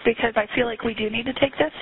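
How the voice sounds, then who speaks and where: A woman's voice: a little high, fairly even intonation, fast. A woman in law enforcement talking casually with a colleague over a phone line. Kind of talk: casual work phone call. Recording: hissy, thin phone line.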